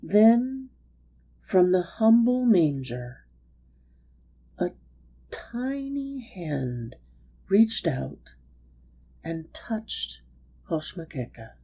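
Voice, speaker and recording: A woman reads a story aloud with drama. Her voice is low and soft-edged, and she takes her time over each phrase. The recording sounds a bit dull. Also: sing-song, drawn-out words, pauses often, husky.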